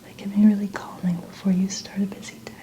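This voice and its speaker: Softly whispering woman, ASMR roleplay. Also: mid-range voice, flat delivery. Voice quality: soft, breathy, often whispered. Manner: slow, gentle narration of each step. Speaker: ASMR host playing a skincare practitioner. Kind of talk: soft-spoken ASMR roleplay. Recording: noticeable ambient background noise.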